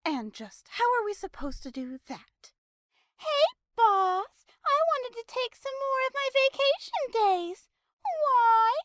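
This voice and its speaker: A woman acts out an irritable female pony cultist in an audio drama. Her voice is extremely high and piercingly bright, swinging widely in pitch. She is sarcastic and emphatic, sounding exasperated. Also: big pitch swings, takes it slowly, no echo, studio quality, full character voice. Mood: mocking.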